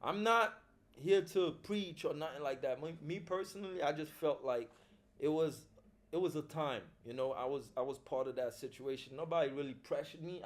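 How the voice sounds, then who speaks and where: A middle-aged man, a film producer talking casually and reflectively as an interview guest, using street slang. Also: on the high side. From Haiti.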